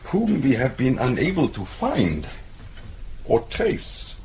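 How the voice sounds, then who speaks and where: Slyly mocking police captain. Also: male voice, mid-range voice, huge pitch swings, gravelly, darkish tone, a little hiss or hum, heavily acted. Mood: sly, sceptical. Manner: halting, insinuating, chuckling interrogator. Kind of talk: radio drama acting.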